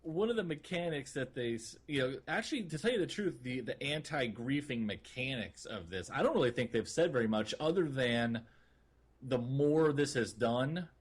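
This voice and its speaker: A man co-hosting a gaming podcast in a casual, rambling way, with a somewhat husky voice. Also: mid-range voice, animated, normal speed. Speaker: podcast co-host.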